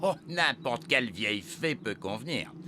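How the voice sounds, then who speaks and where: A man playing a wise, practical old elf beekeeper in a children's cartoon, explaining things in an instructive, creaky voice. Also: mid-range voice, animated, normal speed, noisy background, lightly acted. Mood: measured, explaining. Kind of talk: animated children's cartoon acting.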